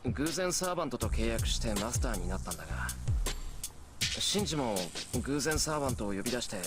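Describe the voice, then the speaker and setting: A man's voice: medium pitch, very animated, racing, lightly acted. A man playing a serious, guarded, stubborn teenage schoolboy in a Japanese visual novel, with a firm, blunt delivery. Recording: loud music under the voice.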